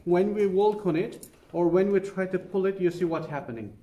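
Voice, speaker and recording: A man's voice: sits high, moderate pace, mellow, muffled recording. A man with a Turkish accent, guiding visitors through a carpet workshop and patiently explaining each step, checking in with "okay?" as he goes. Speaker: carpet factory tour guide. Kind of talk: guided tour explanation. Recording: echoey room, from a distance, noisy.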